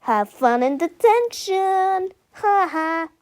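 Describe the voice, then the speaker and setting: A woman's voice: on the high side, animated. Woman as a smug, drawling tattletale schoolboy. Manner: hesitant, drawn-out, smug.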